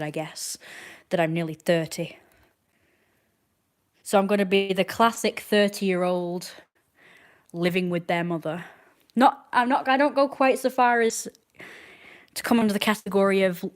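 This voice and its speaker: A woman in her twenties chats on a live stream in a low-pitched voice. She rambles from one thought to the next and answers viewers' comments out loud. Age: 20s.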